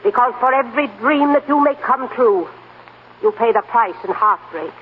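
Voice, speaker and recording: A woman's voice: on the high side, lively intonation, normal speed, lightly acted. A feisty, no-nonsense old grandmother played by an actress in a 1940s radio drama. She is blunt but encouraging. The sound is tinny and narrow, with light noise behind it. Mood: feisty, resolute. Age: older.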